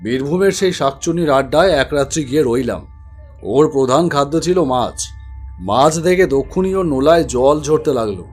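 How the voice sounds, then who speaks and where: A man's voice: on the high side, expressive, moderate pace, soft background music. A man narrating a spooky cartoon story in a dramatic, suspenseful way.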